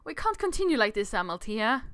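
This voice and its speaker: Woman reading as earnest fairy-tale royal, higher voice. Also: sing-song, fairly quick, fairly bright tone, no room sound, clean studio sound. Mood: weary, pleading. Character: earnest fairy-tale characters read from a visual novel. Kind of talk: dramatic read-aloud of visual novel dialogue.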